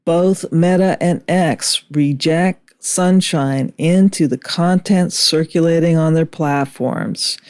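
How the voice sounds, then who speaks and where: Woman reading out a commentary script, really slow and measured, kinda low voice. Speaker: video commentator. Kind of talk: scripted political commentary narration.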